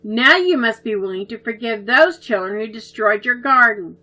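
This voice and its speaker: A woman voicing a kind older brother in a storybook, gently firm and patient as he teaches his sisters. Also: on the high side, animated, normal speed, low-quality recording. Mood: teaching.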